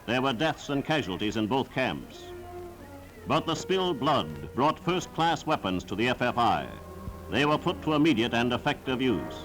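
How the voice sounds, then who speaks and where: A man's voice: mid-range voice, sing-song, moderate pace, over music, low-quality recording. A man narrating a scripted 1940s wartime newsreel in a solemn, formal, declamatory style, on a vintage film soundtrack.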